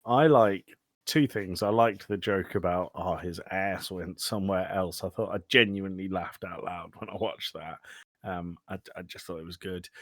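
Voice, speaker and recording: Man, slightly low voice, dry close sound, podcast host, casual joking conversation. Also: dry recording. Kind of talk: casual film discussion.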